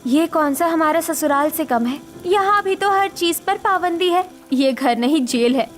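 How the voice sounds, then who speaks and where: A woman's voice: extremely high pitch, lively intonation, a little slow. A woman voicing a yearning young sister and new bride in a cartoon story, earnest and plaintive. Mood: resentful.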